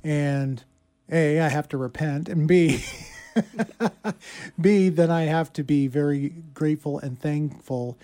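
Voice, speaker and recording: High-pitched man co-hosting morning radio. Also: slow pace, mellow. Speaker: radio morning show co-host. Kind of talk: casual morning radio banter.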